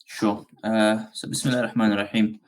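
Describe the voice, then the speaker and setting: A man from Afghanistan, a business founder being interviewed on a podcast, talking in a relaxed, rambling way with many ums and uhs. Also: fairly low pitch, a little fast.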